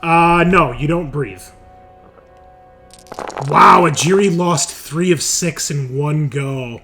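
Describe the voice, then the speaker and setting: A man's voice: on the high side, slow pace, sharp tone. Male game master over faint music. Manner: conversational, explaining rules. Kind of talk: running a tabletop roleplaying game, casual.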